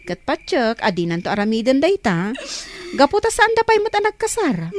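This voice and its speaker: A woman's voice: high-pitched, big pitch swings, normal speed, a little hiss or hum. A woman playing a practical older sister in a radio drama, speaking with an Ilocano accent and questioning and advising in a firm way. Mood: concerned.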